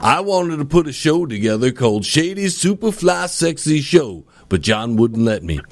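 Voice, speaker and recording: A man's voice: fairly high pitch, very animated, moderate pace. A male podcast co-host who trades jokes casually and sometimes stumbles over his words.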